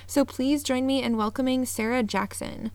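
A woman's voice: high-pitched, fairly even intonation, normal speed, no room sound. A woman hosting a podcast, earnest and upbeat, reading her intro and then chatting with a guest. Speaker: podcast host. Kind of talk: scripted intro then casual interview.

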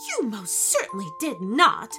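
A woman's voice: high voice, lively intonation, extremely slow, pushed and bright, soft background music, voice pushed up, heavily acted. A woman voicing a large, kindly but easily affronted female gorilla florist in a children's story, flustered and genteel. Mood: affronted, huffy. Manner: flustered, genteel indignation with stammers.

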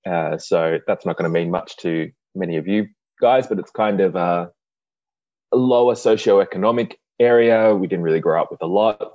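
Deep-voiced Australian man, laid-back. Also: normal speed, dry recording, dull audio. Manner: relaxed, chatty, dry humor. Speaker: podcast guest. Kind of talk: casual interview.

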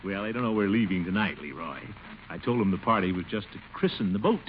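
An actor playing a pompous, blustery middle-aged uncle with a theatrical, chuckling delivery in 1940s radio comedy style. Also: male voice, sits low, expressive, fast. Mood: self-satisfied. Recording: dull, noisy old radio recording.

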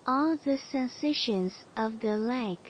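A woman narrating a guided body scan relaxation, giving soothing, measured instructions with long pauses, recorded over chirping birds. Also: a little high, dull audio. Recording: clear background noise with birdsong. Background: chirping birds, nature ambience.

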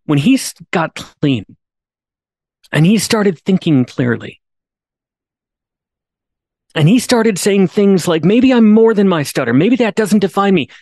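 A man's voice: high-pitched, animated, unhurried, long pauses, warm tone, dry recording, studio quality. A man hosting a podcast, telling a story in an earnest way, restarting on repeated words and rising to emphatic pleas. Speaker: podcast host. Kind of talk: storytelling monologue.

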